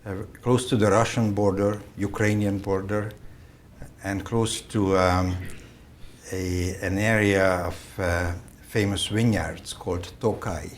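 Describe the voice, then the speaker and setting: A man in his seventies from Hungary, a native Hungarian speaker, telling his life story in a slow, halting way with many fillers and restarts. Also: deep voice, fairly even intonation, a little hiss or hum. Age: 70s. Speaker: interview guest, vascular surgeon. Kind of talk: recorded oral-history interview.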